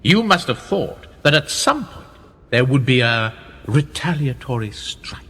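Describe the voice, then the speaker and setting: An actor playing an urbane, condescending older aristocratic power broker in a radio play. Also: male voice, medium pitch, sing-song, a little slow, a bit bright, husky, exaggerated voice. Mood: urbane, amused. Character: smooth, patronising older British aristocratic power broker, knighted. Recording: long reverberant hall echo.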